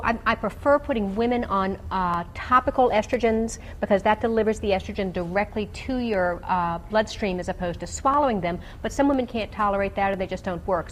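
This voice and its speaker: A woman speaks as a doctor on a radio call-in show, with background noise around her. She explains medical options in technical detail, pausing as she goes. Her pitch sits in a middle range for a woman. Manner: explanatory, technical, hesitant pauses. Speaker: doctor answering callers on a health show. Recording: clearly noisy background.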